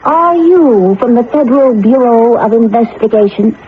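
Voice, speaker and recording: Actress as a high-voiced, haughty talking computer. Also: female voice, expressive, moderate pace, lightly acted. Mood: officious. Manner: imperious, formal, precise. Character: proud, touchy female talking computer with a mind. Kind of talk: 1980 radio drama acting. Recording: lo-fi old radio broadcast, faint noise.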